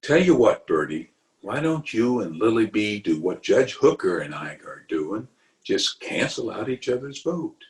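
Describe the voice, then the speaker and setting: A man's voice: lowish voice, sing-song, a little slow, low-quality recording. A man playing a pompous, self-justifying middle-aged uncle in an old-time radio comedy, with a blustery, chuckling manner. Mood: coaxing. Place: recorded remotely over a video call.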